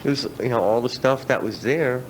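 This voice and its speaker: A man's voice: mid-range voice, fairly quick. A middle-aged man telling stories casually as a musician guest in a radio interview. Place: radio studio. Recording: noisy old radio aircheck.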